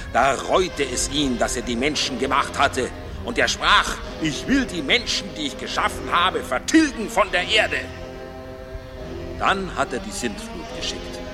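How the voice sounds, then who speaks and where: An actor playing a fiery, scolding Bavarian village priest who preaches doom in a radio drama. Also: male voice, mid-range voice, close to an everyday voice. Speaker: pastor. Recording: music behind the voice.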